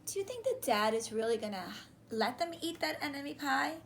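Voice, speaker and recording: A woman in her thirties reads a children's story aloud like a teacher, animated and deliberate, pausing to question her young listeners. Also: high-pitched. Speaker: teacher reading a picture book aloud. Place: small room indoors, on a couch. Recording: clear background room noise.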